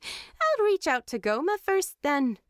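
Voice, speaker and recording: A woman's voice: high voice, wildly swooping pitch, takes it slowly, no echo, studio quality, voice pushed up, heavily acted. A woman voicing a dignified, shrewd female dwarf guild leader in a fantasy story, speaking formally. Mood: diplomatic.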